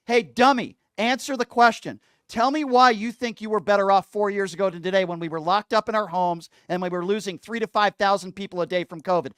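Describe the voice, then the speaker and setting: A man's voice: high-pitched, a bit bright, smooth, no room sound, studio quality. A man hosting a political call-in radio show, scolding and sarcastic as he argues. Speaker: radio talk show host.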